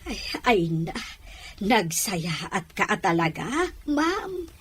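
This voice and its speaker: Attentive household nanny, husky and slow with lively intonation, deferential drama part, played by a woman. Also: mid-range voice, heavily acted. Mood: concerned, admiring. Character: attentive household nanny addressing her mistress. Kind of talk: Ilocano audio drama acting.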